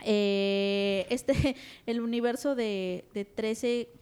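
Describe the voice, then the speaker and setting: A woman, co-hosting a podcast in casual chat. She laughs often and fills her talk with little pauses. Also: fairly high pitch, steady tone, a little mellow, clear, resonant tone. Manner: giggly, chatty, filler-heavy. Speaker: podcast co-host.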